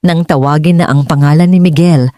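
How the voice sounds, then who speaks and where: Low, mellow Filipino woman narrator. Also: steady tone, fairly quick, dry recording, clean studio sound. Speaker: audiobook narrator. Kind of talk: scripted dramatic story narration.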